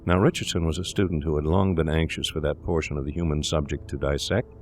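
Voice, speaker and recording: A man's voice: rumbling low, fairly even intonation, fast talker, darkish tone, soft background music. A man reading scripted literary narration as the narrator of a gothic tale. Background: eerie ambience.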